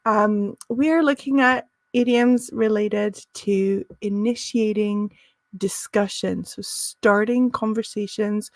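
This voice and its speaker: A woman's voice: fairly high pitch, gravelly, no echo. A woman teaching a live business English lesson, friendly and encouraging, with frequent "um" and "uh" fillers.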